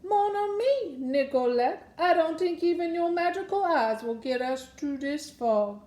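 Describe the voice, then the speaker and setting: Woman playing high-pitched little old Santa. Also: expressive, a little slow, a little mellow. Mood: worried, determined. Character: little old Cajun Papa Noel, earnest and determined. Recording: roomy echo around the voice.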